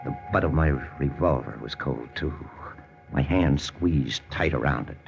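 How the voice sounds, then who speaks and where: A man's voice: extremely low pitch, vocal fry, exaggerated voice. A man playing a tense American intelligence lieutenant, narrating his mission tersely in an old radio drama. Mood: tense, grim. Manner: terse, hard-boiled, deliberate. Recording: muffled old radio recording with music.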